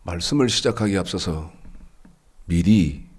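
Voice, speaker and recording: A man in his sixties preaching a Sunday sermon, his voice stuffy and nasal from a cold, rising high and emphatic as he drives his points home. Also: lively intonation, unhurried, a little mellow, husky. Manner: rises to an emphatic raised pitch when preaching. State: congested with a cold. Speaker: pastor.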